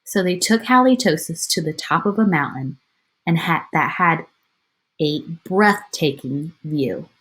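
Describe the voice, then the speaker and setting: A woman's voice: sits low, animated, unhurried, fairly bright tone, low-quality recording. A woman teacher reading a picture book aloud to children, expressively, with chatty asides.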